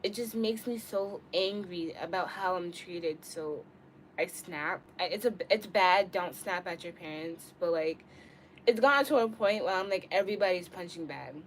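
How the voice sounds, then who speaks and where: A woman's voice: lowish voice, normal speed. A woman in her early twenties chatting casually to live-stream viewers in a candid, slangy, rambling way. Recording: clearly noisy room ambience.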